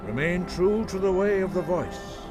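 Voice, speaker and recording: An actor playing a wise, patient old monk master in a fantasy video game, speaking in a measured, formal way. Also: male voice, high voice, expressive. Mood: reverent, grave. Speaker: mentor. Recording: loud music behind the voice. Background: game music.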